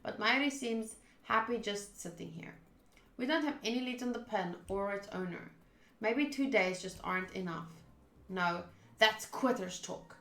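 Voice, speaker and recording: A woman's voice: medium pitch, a little slow, fairly bright tone. A woman streaming a visual novel, reading its narration aloud with casual reactions. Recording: roomy, echoing voice track. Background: game music.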